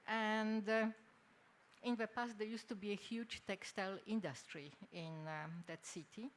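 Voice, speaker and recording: An older woman from Poland with a Polish accent gives a formal conference lecture in halting, hesitant phrases. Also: medium pitch, steady tone. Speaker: academic speaker, textile researcher. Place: indoor symposium venue. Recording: noisy live symposium room recording.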